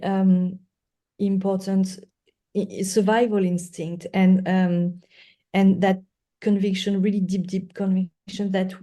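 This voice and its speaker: Female podcast guest, non-native English speaker. Soft dark tone, unhurried, earnest and halting, repeats words for emphasis. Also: medium pitch. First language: not English. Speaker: guest lawyer. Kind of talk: conversational podcast interview.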